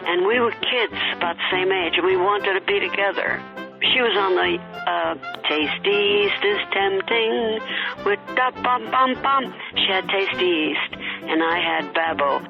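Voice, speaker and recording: Gravelly older woman reminiscing. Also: lowish voice, muffled recording. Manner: chatty, anecdotal, with fillers. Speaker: interviewee. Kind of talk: reminiscing interview.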